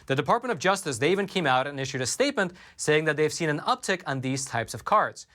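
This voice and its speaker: A man's voice: a little high, animated, fairly bright tone. Man hosting a news show. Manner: measured, reads quotes aloud. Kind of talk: scripted news commentary. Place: studio.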